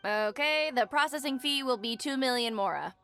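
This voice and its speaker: A woman voicing a grasping, briskly polite government clerk in a video game, formal and matter-of-fact. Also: high voice, fairly bright tone, faint music behind. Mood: officious.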